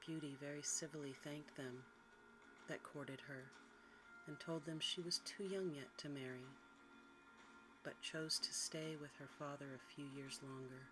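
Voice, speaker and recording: A quiet, soft-spoken narrator reading a bedtime story in a hushed ASMR style. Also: medium pitch, fairly even intonation, unhurried. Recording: clearly noisy recording with background ambience.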